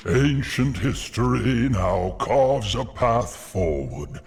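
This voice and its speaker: An actor playing an ancient, benevolent nature spirit in a fantasy game, gravelly, solemn and formal. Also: male voice, mid-range voice, sing-song, slow pace, darkish tone, soft background music, full character voice. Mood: solemn, kindly.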